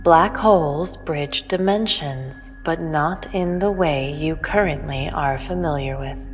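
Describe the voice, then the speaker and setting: An otherworldly female intelligence, played by an actress, pronounces cosmic truths with calm superiority. Her voice is low and slow, formal and measured, in long reverb over music. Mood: cool, dismissive. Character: otherworldly all-knowing female entity, cryptic, calmly superior. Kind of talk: sci-fi audio drama.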